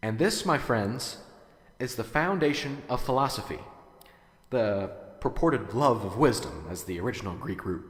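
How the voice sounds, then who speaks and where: A man's voice: medium pitch, lively intonation, moderate pace, echoey room, long reverb tail, lightly acted. A man playing a hesitant, unsure professor in his thirties in a radio drama, stammering as he lectures. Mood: nervous, hesitant. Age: 30s. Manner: hesitant, stammering, nervous chuckles. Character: nervous, unsure young male philosophy professor.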